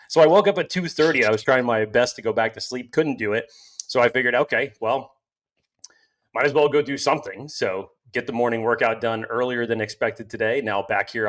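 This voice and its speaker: Man, sports podcast co-host, energetic, hype-style delivery, casual chat. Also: medium pitch.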